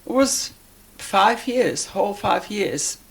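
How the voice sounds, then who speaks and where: Slow, elderly Polish-accented woman. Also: mid-range voice, expressive, vocal fry. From Poland. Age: 80s or older. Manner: halting, deliberate, searching for words. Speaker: interviewee, life-story witness. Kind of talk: personal reminiscence in an interview.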